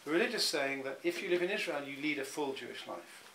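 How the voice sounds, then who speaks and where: A man's voice: fairly high pitch, expressive, quick pace, gravelly, fairly bright tone, rough recording. Middle-aged man, reflective interviewee, stop-start delivery, faint hum behind. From England. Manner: thoughtful, many restarts and repeated words.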